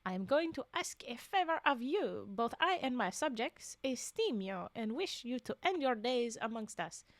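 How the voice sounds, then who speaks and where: A woman's voice: fairly high pitch, very animated, normal speed, no room sound. A woman voicing a gracious king from an old adventure tale in formal, stately speech. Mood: gracious, commanding.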